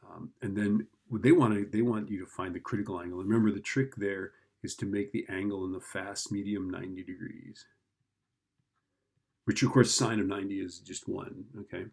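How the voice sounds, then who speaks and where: A man with a fairly low, gravelly voice gives an informal lesson. He talks through each step as if thinking aloud, in a casual teacher's manner, often checking that the listener follows. His tone has a husky edge. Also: fairly even intonation, normal speed, darkish tone. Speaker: physics teacher. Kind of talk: informal worked-problem explanation.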